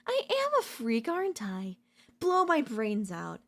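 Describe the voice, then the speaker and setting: A woman voice-acting an obsessive, unhinged yandere in a dark ASMR audio roleplay, theatrical and taunting, mocking and seductive. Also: a little high, huge pitch swings, unhurried, exaggerated voice. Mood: manic. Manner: theatrical, taunting, swinging between intimate murmurs and intense outbursts.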